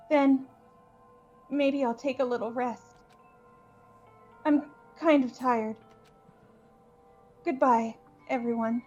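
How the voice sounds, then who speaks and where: A woman plays a gentle computer-program character from a game. The voice is very high, soft and earnest, with a hesitant sweetness. The recording is a bit dull, with music behind it. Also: full character voice. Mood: tired, resigned. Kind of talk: amateur fan voice acting of a game.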